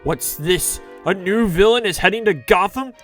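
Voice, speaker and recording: Male narrator, theatrical sixties-serial announcer, higher register, measured pace, music underneath. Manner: dramatic, campy announcer delivery. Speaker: narrator of a fan audio drama. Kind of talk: theatrical scripted narration in 1960s serial style.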